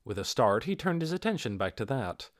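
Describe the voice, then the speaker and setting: A man narrates a fantasy story with a very lively, swinging intonation. His voice sits at a middle pitch, and the recording is dry, with hardly any room sound. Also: a little fast, no room sound. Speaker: amateur audiobook reader.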